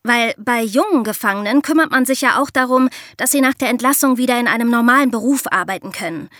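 A woman's voice: on the high side, fast, a bit bright, no echo, studio quality. A woman co-hosting a podcast, explaining things conversationally to her co-host.

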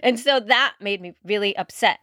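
Dry close recording, woman in her forties, mid pitch, casual co-host, emphatic, searching for words. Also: moderate pace, pushed and bright, no echo. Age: 40s. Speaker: podcast co-host. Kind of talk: casual, reflective chat.

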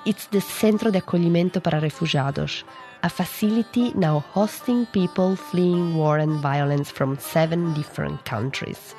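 A woman reporter with a fairly low voice narrates a scripted radio news feature. She reads as a correspondent, with music faintly running behind her. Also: normal speed, darkish tone.

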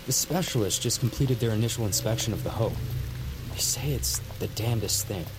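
An actor playing a matter-of-fact government official briefing an agent. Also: male voice, on the low side, lively intonation, fairly quick, big-room sound, noisy background, natural voice. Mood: dry. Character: dry, weary male government official.

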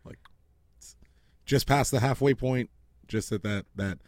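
A man's voice: mid-range voice, measured pace, darkish tone, no room sound. A man co-hosting a sports podcast in a studio, sardonic and profane in casual talk. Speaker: podcast co-host.

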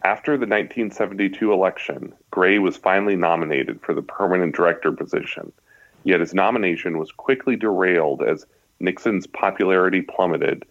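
An American man with a deep voice reads from a nonfiction book as a guest author. The sound is dry, with little room around it, and slightly muffled in fidelity. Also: dry recording. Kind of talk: reading a nonfiction book passage aloud. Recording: slightly dull, lo-fi audio.

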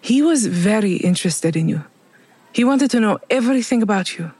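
A woman's voice: medium pitch, animated, brisk, a little mellow, slightly rough. An actress playing a guilt-ridden, vengeful woman who speaks tersely and without repentance in an audio drama. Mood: grim, resigned.

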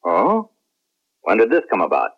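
A man's voice: low-pitched, huge pitch swings, normal speed, husky, exaggerated voice. An American man acting a spaceman in a science fiction radio drama of the 1970s. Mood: probing, cool. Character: celebrated space hero captain, and his earnest clone. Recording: muffled lo-fi 1970s radio broadcast.

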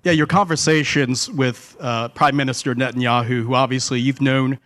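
A man working as a reporter, asking formal, measured questions at a press conference. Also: mid-range voice, steady tone, a bit bright, a little hiss or hum.

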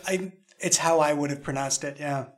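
A man's voice: fairly high pitch, brisk. A man speaking as an audio drama creator in a roundtable interview, explaining things in a reflective, discursive way.

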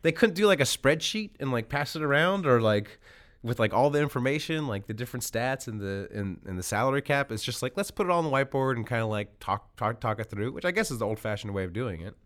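A man's voice: medium pitch, animated, fast talker, fairly bright tone. A man hosting a podcast in a casual, joking style. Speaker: podcast host. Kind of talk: casual banter and comedic dramatic reading.

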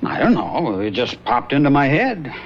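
An actor playing Bill, a wry, teasing skeptic in a 1970s radio drama. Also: male voice, mid-range voice, sing-song, normal speed. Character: wry joking man named Bill, skeptical friend. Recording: dull old radio broadcast with faint hiss.

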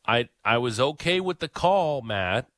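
A man's voice: mid-range voice, expressive, a little slow, fairly bright tone, no room sound, clean studio sound. A man co-hosting a sports radio show, giving blunt opinions and repeating his points for emphasis.

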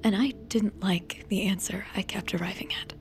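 A woman playing a lost, guilt-ridden woman confessing to a friend in an intimate, halting audio drama monologue. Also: mid-range voice, fairly even intonation, over loud music, lightly acted. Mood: anguished, brooding.

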